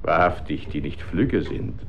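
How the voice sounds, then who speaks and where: An actor playing a lecherous, self-satisfied count in a radio drama, with stately archaic and insinuating speech. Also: male voice, extremely low pitch, animated, measured pace, highs cut off, slight background noise. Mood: lewd, insinuating. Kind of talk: German radio drama acting.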